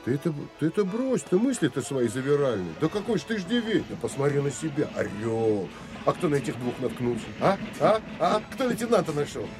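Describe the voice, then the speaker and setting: A man's voice: on the high side, sing-song, fairly quick, over music. An actor playing a wry, seasoned Soviet army counterintelligence man in a radio play, talking in a colloquial, teasing, coaxing way. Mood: coaxing, indignant.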